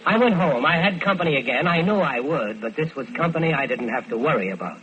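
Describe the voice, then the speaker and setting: An actor playing a smooth-talking American killer who tells his own crime in vintage radio drama style. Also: fairly high pitch, lively intonation, fast talker, a bit bright, faint music behind. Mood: tense, confessional. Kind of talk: 1940s-50s radio crime drama acting. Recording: dull lo-fi old radio recording.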